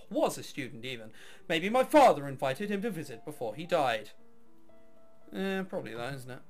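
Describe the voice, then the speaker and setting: Animated high-pitched man reading a game aloud. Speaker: video game let's player. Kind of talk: reading a visual novel aloud with casual commentary.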